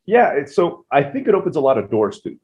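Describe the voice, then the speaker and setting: A man with an American accent, a podcast guest telling stories casually with lots of quick asides. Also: a little high, sing-song. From Philippines-born, raised in Turkey. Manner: rapid, chatty storyteller with asides. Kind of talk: casual interview storytelling. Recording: dull lo-fi audio.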